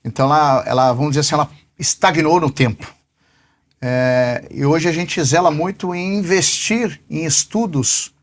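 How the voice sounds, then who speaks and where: A man in his forties, a business director being interviewed, answering in an unhurried way and pausing often on fillers. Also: fairly high pitch. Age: 40s. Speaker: podcast guest, company sales director.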